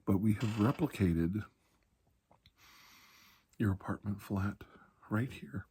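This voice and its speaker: A man playing a calm, reassuring doctor in an ASMR roleplay, speaking softly and slowly with pauses, often near a whisper, in a breathy, husky voice. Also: on the low side, slight background noise. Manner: slow, calming, deliberate with long pauses. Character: calm, reassuring older military doctor treating alien infection.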